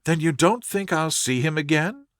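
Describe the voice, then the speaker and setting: A man's voice: on the high side, expressive, normal speed, a bit bright, no room sound, clean studio sound, voice pushed up. A man voicing a distressed, loyal young woman with an earnest, plaintive manner in an audiobook story. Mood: anxious. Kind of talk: audiobook character dialogue.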